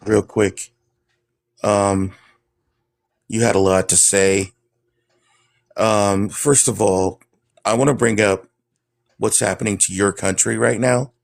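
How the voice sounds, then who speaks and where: A man asking halting questions with frequent ums in an online debate. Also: fairly low pitch, steady tone, creaky. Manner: hesitant, many fillers. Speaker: debate panelist.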